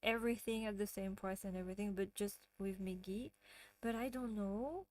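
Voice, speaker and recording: A woman's voice: mid-range voice, normal speed, darkish tone, low-quality recording. A woman from France with a French accent, hosting a casual livestream and chatting with viewers, often giggling. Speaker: livestream host.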